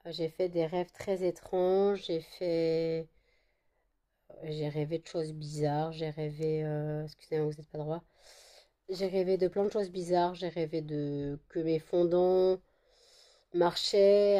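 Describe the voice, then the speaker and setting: A woman's voice: on the low side, fairly even intonation, takes it slowly, pauses often, warm tone. A woman vlogging casually at home, talking to her phone camera with a slight cold, rambling with many fillers and restarts. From France. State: still has a cold. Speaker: vlogger, candle maker. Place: at home indoors.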